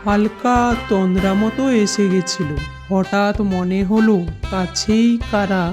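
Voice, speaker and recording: Female narrator for a scripted animated story, medium pitch, music and birdsong behind. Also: slow pace.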